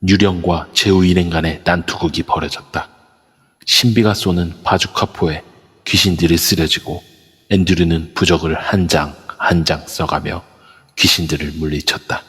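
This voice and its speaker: A man narrating an amateur audio drama in a steady storytelling manner. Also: deep voice, normal speed, slightly rough. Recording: long reverb over a voice app.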